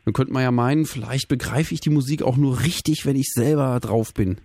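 A man's voice: mid-range voice, a little fast, warm tone, no room sound. A male radio host from Bremen chatting with callers, often stumbling and restarting his sentences. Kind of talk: radio call-in chat.

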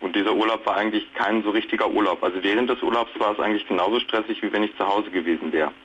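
A man's voice: on the low side, steady tone, rapid-fire, fairly bright tone. A man in his late thirties calling a radio show, speaking casually in a reflective, conciliatory way over a dull phone line with noise. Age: late 30s.

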